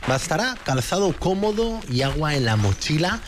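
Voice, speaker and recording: A man from Bilbao presenting a travel show, chatting in a lively way while walking an outdoor trail. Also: on the high side, normal speed, background noise. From Bilbao, Spain. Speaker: host.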